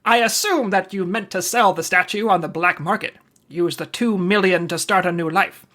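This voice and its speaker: An actor playing a clever, theatrical investigator who talks in a sly, probing way, in old-time radio detective style. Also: male voice, high voice, moderate pace, sharp tone. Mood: confident. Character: clever, theatrical male insurance investigator sleuth.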